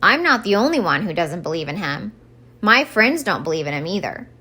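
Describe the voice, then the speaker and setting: A woman's voice: on the low side, sing-song, a little fast, sharp tone, slightly rough, full character voice. A woman voicing a mopey, suspicious, stubborn old Santa Claus in a children's storybook, dramatic and insistent. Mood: indignant. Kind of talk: expressive children's storybook read-aloud.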